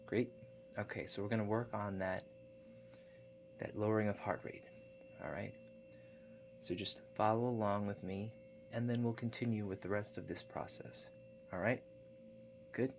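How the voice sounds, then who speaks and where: A man doing a softly spoken ASMR roleplay as a calm relaxation agent, hushed and halting, with gentle check-in questions. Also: fairly low pitch, soft background music. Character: calm, awkward house-call relaxation agent. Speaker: ASMR performer.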